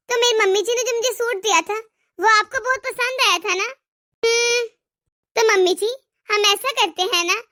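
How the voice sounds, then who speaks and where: A woman voicing a meek, polite, placating young daughter-in-law in an animated family drama. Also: very high voice, no echo, studio quality, full character voice.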